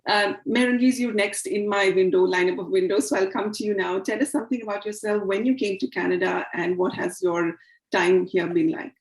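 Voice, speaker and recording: A woman's voice: mid-range voice, fairly even intonation, fairly quick, roomy sound, rough recording. A woman hosting a virtual panel over a video call, hesitant with many fillers. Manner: hesitant with frequent uh fillers, welcoming. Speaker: host. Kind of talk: scripted-feeling virtual panel intro. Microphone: video call mic.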